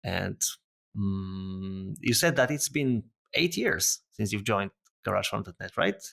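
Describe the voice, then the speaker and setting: A man's voice: fairly low pitch, expressive, takes it slowly, vocal fry, fairly bright tone. A man hosting a casual interview, asking questions in a friendly, hesitant way with many fillers. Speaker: podcast host.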